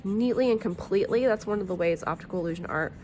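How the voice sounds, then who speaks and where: A woman's voice: mid-range voice, fast, darkish tone, slight background noise. A woman art teacher talking through a drawing tutorial in a friendly, chatty way, a bit sniffly from allergies, with plenty of ums.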